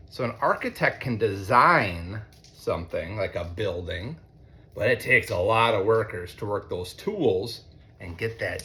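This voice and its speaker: An American man, a teacher, reads a picture book aloud to children in an expressive way, with conversational asides. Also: on the low side, slight background noise. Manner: expressive, deliberate when reading, conversational in asides. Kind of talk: read-aloud storytelling with chatty asides to children.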